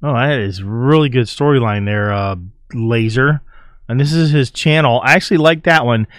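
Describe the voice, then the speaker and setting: A man's voice: medium pitch, moderate pace, a bit bright, no room sound, studio quality. A man with an informal, slangy way of talking, giving casual reaction commentary as a video host. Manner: informal, slangy, often chuckling.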